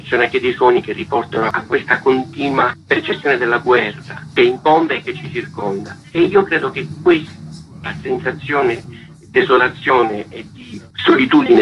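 A man, a stage actor, giving reflective answers in an interview. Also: medium pitch. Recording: dull radio recording, clear background noise.

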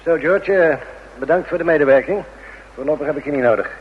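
An actor playing a matter-of-fact police inspector in a radio crime drama, a man with a measured, questioning manner. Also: fairly high pitch, close to an everyday voice. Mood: measured, probing. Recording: muffled, faintly noisy radio recording.

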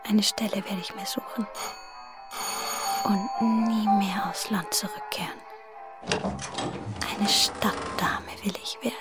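A woman's voice: mid-range voice, steady tone, takes it slowly, long pauses, reverberant, whispered, over loud music, natural voice. An actress playing a dreamy, ambitious young country girl in a German radio drama. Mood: determined, dreamy.

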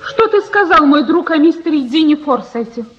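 A gently questioning wife and mother in a radio play. Her voice is high. The archive recording is dull and faintly noisy. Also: lively intonation, moderate pace. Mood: gently probing. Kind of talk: radio drama acting.

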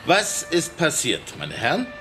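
An actor playing a cool, precise middle-aged lawyer in a radio drama, speaking formally. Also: male voice, fairly high pitch, huge pitch swings, unhurried, music in the background. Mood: guarded.

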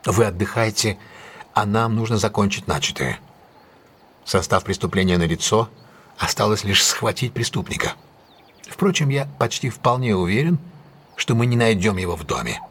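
An actor playing a self-assured gentleman detective in an audio drama, decisive, courteous and authoritative. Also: on the low side, animated, moderate pace, husky. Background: music.

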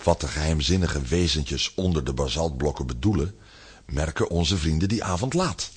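A man's voice: extremely low pitch, lively intonation, normal speed, background noise. A man narrating a scripted children's story as the narrator of an audio drama.

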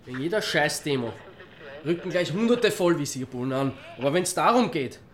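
An Austrian actor playing a blunt, frustrated amateur investigator in a radio drama, with a colloquial, sardonic way of talking. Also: male voice, fairly high pitch, lively intonation, a little fast, close to an everyday voice. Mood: angry, worried. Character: blunt, frustrated male amateur investigator, anxious for his friend.

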